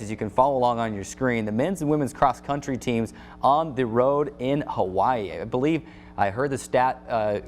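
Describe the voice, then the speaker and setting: A man hosting a sports talk show in a chatty, conversational and wordy way. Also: mid-range voice. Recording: faint background noise.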